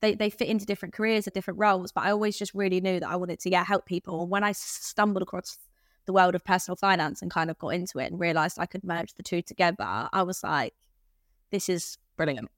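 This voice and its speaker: A woman's voice: fairly low pitch, quick pace, dry recording. Brief: British woman, podcast guest, easygoing and chatty, dry close sound. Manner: chatty, laughs easily. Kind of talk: casual chat.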